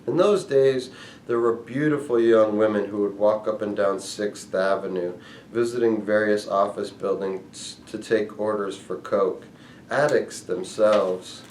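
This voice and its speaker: A man with a fairly low voice reads aloud from a memoir. He sets out each phrase in a measured, deliberate way. The recording has a clearly echoey, roomy sound. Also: steady tone, darkish tone, recorded from a distance, slight background noise. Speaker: book reader and reviewer. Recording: echoey room.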